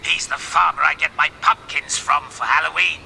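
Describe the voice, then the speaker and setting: A man's voice: a little high, fairly even intonation, normal speed, gravelly, pushed and bright, a little hiss or hum, heavily acted, poor audio. A man voicing a grumpy, scornful middle-aged wizard villain in a cartoonish video game, with an irritable, theatrical delivery. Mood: grudging, uneasy. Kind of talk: animated video game voice acting.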